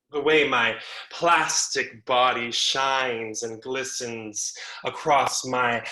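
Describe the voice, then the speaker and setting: A man's voice: medium pitch, pushed and bright. A man playing a vain, sassy talking mannequin in a staged play reading, with campy, theatrical indignation. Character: sassy, vain talking mannequin who calls itself a womannequin.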